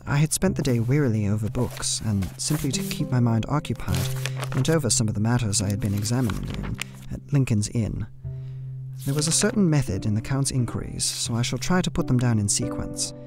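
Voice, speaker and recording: A man's voice: medium pitch, lively intonation, a little fast, natural voice. An actor playing an earnest, uneasy young English solicitor, narrating his journal formally in a radio play. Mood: earnest, guarded. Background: plucked guitar music.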